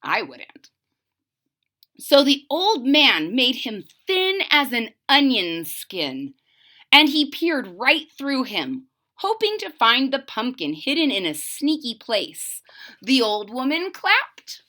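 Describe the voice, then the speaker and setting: A woman reading a storybook aloud to young children, expressively, with direct asides to the listeners. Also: sits high. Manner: deliberate, animated, addressing children directly. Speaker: storybook reader.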